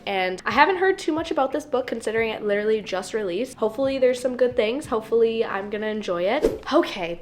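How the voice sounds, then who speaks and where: A young woman in her twenties, a little raspy from being sick, chatting in a bubbly, gushing way as she shows off a book haul. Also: medium pitch, slight background noise. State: raspy from recent illness. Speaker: book vlogger. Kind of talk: casual book haul vlog.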